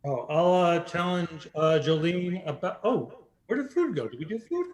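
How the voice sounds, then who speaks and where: A man with a high, very animated voice banters casually as a game contestant. The recording is echoey, with overlapping voices around him. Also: normal speed.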